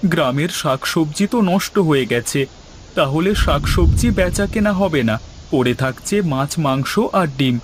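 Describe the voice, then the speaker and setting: A man's voice: a little high, animated, unhurried, background noise. A man voicing a resourceful village chicken seller in cartoon dialogue, earnest, confident and respectful. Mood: earnest, determined.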